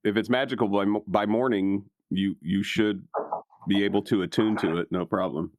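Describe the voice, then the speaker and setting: A man's voice: lowish voice, fairly even intonation, moderate pace, darkish tone, no echo. A man chatting casually as a player in a tabletop game, swearing freely.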